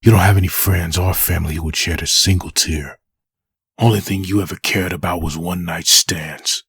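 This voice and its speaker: A man playing a vengeful soldier back from the dead in a comic dub, bitter, grim and taunting in a low, husky voice. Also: fairly even intonation, moderate pace, fairly bright tone, slight background noise. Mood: furious, accusing. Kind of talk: comic dub dramatic acting.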